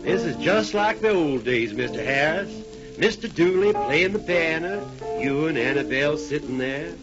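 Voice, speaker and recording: An actor playing a courtly, formal old colonel with a Southern US accent in 1940s radio comedy style. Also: male voice, on the high side, animated, normal speed, over music, close to an everyday voice. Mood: genial, formal. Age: older. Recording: dull 1940s radio broadcast recording.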